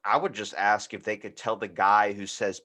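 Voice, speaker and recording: A man's voice: lowish voice, fairly even intonation, normal speed, bright, forceful tone. A man hosting a podcast in casual, rambling chat, often acting out imagined bits of dialogue. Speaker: podcast host.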